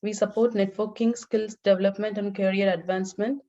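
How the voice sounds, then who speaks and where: Co-host, woman from India; mellow, measured delivery with pauses, over a muffled lo-fi call line. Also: medium pitch, fairly even intonation. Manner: measured, with frequent hesitations. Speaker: webinar co-host. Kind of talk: reading organisation introduction. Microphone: webinar video call.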